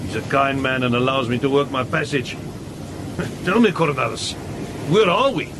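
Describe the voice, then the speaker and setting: An actor playing a simple, loyal old hunter in a radio drama, talking plainly and earnestly. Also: male voice, medium pitch, normal speed, natural voice. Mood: telling. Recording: radio drama with noise behind.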